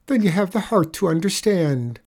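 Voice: mid-range voice, animated, normal speed, a little mellow, pitched above the performer's own voice. A performer voicing a wily little Irish leprechaun man in a storybook tale, coaxing with a mournful lilt. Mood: piteous, pleading. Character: wily little leprechaun man spinning pitiful sob stories.

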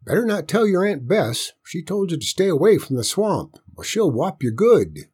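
A man's voice: very animated, normal speed, a little mellow, breathy edge, exaggerated voice. A man voicing a folksy, conspiratorial old country grandfather with a rural Southern US accent for a story. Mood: wary. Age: older. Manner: drawling folksy dialect, pitched up from the narration. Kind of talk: storybook character voice in narration.

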